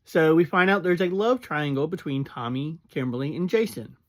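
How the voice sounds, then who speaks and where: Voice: mid-range voice, animated, moderate pace. A fan in their thirties or forties giving a casual, chatty spoken review, laughing now and then.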